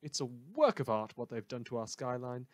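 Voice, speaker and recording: A man's voice: mid-range voice, sing-song, fairly quick, no room sound, close to an everyday voice. Man playing a wry, theatrical radio host, dry sound. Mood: wry, musing. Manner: theatrical, wry, repeats phrases for emphasis, digressive. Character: smug, rambling male small-town radio news host. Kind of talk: mock radio broadcast monologue, fan audio drama.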